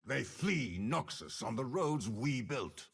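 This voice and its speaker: An actor playing a cold, cunning old warlord general in a video game, gravelly and commanding, with measured, disdainful delivery. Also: male voice, medium pitch, sing-song, full character voice. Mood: commanding, stern. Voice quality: gravelly, husky. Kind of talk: dramatic game character voice lines.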